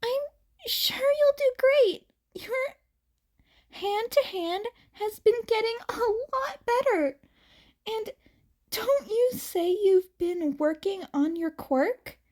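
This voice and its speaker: A woman's voice: very high-pitched, animated, takes it slowly, a little mellow, pitched above the performer's own voice, heavily acted. A woman voicing an anxious, self-doubting teenage boy who stammers and restarts in a podfic reading. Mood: nervous.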